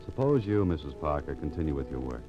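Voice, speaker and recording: An old radio drama recording, dull and with music behind. a very deep-voiced private eye, calm, dry and wry in 1940s style. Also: male voice, fast talker. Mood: gentle, amused.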